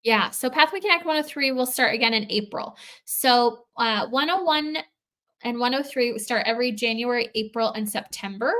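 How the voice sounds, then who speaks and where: Brief: woman, fairly high voice, guest on a livestream Q&A, giving conversational explanations. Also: normal speed, a bit bright. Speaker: guest answering questions on a livestream.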